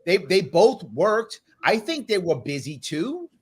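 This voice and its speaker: A middle-aged man from the Bronx, hosting a live panel discussion in an animated, interjecting way with repeated phrases for emphasis. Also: high voice, measured pace, husky. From New York City, the Bronx. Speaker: panel show host.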